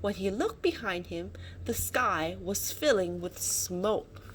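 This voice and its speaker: A woman reading a children's picture book aloud, dramatic and expressive, adding little asides as storyteller. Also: medium pitch, slow pace, rough recording. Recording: faint background noise.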